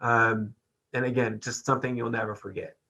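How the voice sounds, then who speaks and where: A man speaking as a podcast panel guest, telling a personal story in a reflective, deliberate and emphatic way. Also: medium pitch, level tone, vocal fry, pushed and bright, low-quality recording.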